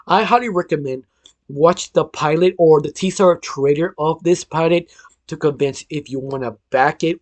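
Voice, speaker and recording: A man with a hoarse voice from illness, hosting a casual cartoon news roundup, rambling with lots of filler phrases and restarts. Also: on the high side, no echo. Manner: rambling, heavy use of "sort of", frequent restarts. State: hoarse, losing his voice from illness. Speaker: cartoon news channel host.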